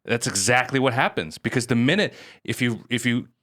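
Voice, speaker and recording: A man's voice: mid-range voice, lively intonation, fairly quick, fairly bright tone, dry recording, studio quality. A man co-hosting a podcast, telling a story casually and with energy. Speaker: podcast co-host.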